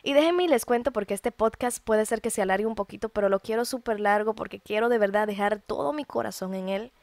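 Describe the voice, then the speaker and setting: A Dominican woman in her early thirties hosting a podcast, telling a personal testimony in a heartfelt, expressive way with colloquial asides. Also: medium pitch, fast talker, no echo. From Dominican Republic. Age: early 30s. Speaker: podcast host.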